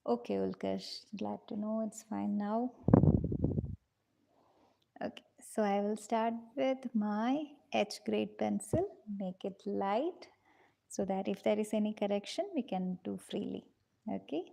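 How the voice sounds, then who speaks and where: A woman teaching a live online drawing class, gentle and patient, calling her students dears and guiding them step by step. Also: measured pace, creaky, background noise. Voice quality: low-pitched voice, in her own words.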